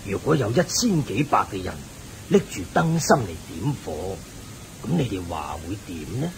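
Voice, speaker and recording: A man's voice: very animated, gravelly, low-quality recording. An actor playing a wise, benevolent Buddhist layman who teaches patiently in a Hong Kong Cantonese radio drama. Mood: benevolent, solemn. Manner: measured, benevolent, didactic. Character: kindly, wise male Buddhist layman sage. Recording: hissy, rumbly old radio recording.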